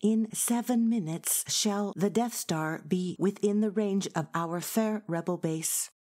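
A woman playing a steady rebel reporting on a battle in measured Shakespearean verse for an audio drama. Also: mid-range voice, lightly acted. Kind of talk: dramatic reading in Elizabethan verse.

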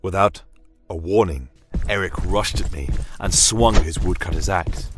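A man's voice: low-pitched, fairly bright tone, dry recording. A man reading a scripted first-person fantasy tale as a dramatic storyteller. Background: fantasy ambient music.

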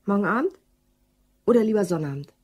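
A slightly husky, curious office woman. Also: medium pitch, lively intonation, quick pace, darkish tone. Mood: prying. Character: curious, businesslike female office colleague. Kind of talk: German crime radio play acting.

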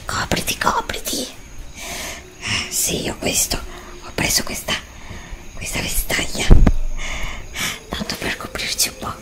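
A woman's voice: slow, pauses often. Anxious whispering woman in ASMR roleplay. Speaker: ASMR creator. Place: indoor room before a green screen. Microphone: desk studio mic.

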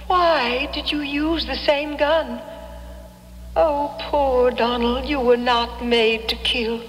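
An actress playing the mournful, beckoning ghost of a dead wife in a 1970s radio drama, with slow drawn-out speech. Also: female voice, high voice, steady tone. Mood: eerie, luring. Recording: long reverb, music behind, old radio.

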